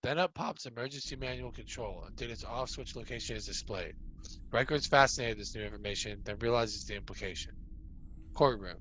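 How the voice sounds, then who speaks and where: A medium-pitched male narrator reads stage directions from a drama script. There is faint ambient noise behind his voice. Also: fairly quick, a bit bright, poor audio. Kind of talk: scripted narration in an amateur table read.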